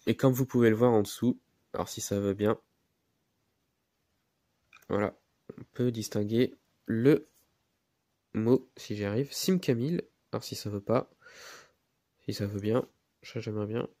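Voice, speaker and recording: A man's voice: on the low side, a little slow, pauses often, dark tone, no room sound. A man with a head cold and a nasal voice, presenting a miniature car in a hesitant, casual way with many fillers. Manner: hesitant, many fillers, repeats words while handling the model.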